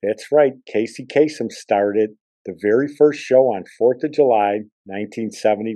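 A man's voice: fairly low pitch, warm tone. A man in his sixties hosting a podcast, chatting casually and reminiscing in a rambling, nostalgic way. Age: 60s. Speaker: podcast host.

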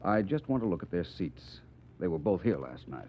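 Urbane radio sleuth, American, very low voice, lively intonation, polished, muffled vintage recording. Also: male voice, normal speed, slight background noise. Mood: urbane, probing. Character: suave, thoughtful gentleman private detective. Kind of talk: 1940s radio detective acting.

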